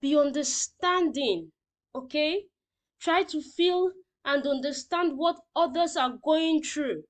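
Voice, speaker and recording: A woman's voice: high voice, takes it slowly. A woman teacher reading out lesson points and explaining them simply, often checking that learners understand.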